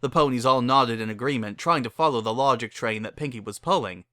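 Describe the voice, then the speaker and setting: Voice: medium pitch, brisk, bright, forceful tone, no echo, clean studio sound. A narrator giving a dramatic scripted reading of a fan fiction story.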